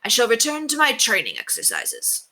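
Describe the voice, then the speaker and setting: A woman's voice: fairly high pitch, wildly swooping pitch, moderate pace, pushed and bright, voice pushed up, exaggerated voice, rough recording. A woman voicing a proud, confident tiny knight who speaks in formal, pompous declarations. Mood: brisk, admonishing.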